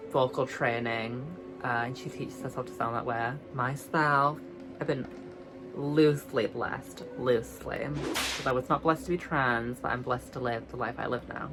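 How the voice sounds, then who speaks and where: A woman's voice: very deep voice, steady tone, low-quality recording. Young British woman, girly light voice, casual vlog chat, self-mocking, music behind. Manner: chatty, self-mocking, drawn-out emphasis. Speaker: vlogger.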